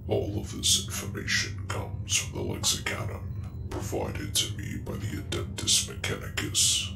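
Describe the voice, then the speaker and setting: Guy doing a super deep space marine lore keeper, grave and formal asmr murmur, echoey with music behind. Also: gravelly, full character voice. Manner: measured, formal, grave recitation. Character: solemn male Space Marine archivist reciting forbidden lore. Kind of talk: ASMR roleplay, scripted lore narration. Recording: echoing voice over background music.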